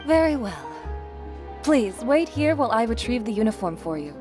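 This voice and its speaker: A woman's voice: on the high side, big pitch swings, normal speed. An actress playing a deferential, chatty woman shopkeeper in an English video game dub, polite and effusive. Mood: apologetic, obliging. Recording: music behind the voice.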